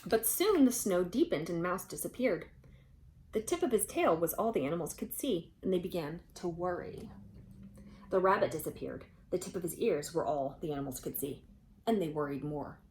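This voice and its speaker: Woman, children's storyteller, animated picture-book read-aloud, questions to the listeners. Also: lowish voice, normal speed, slight background noise, low-quality recording.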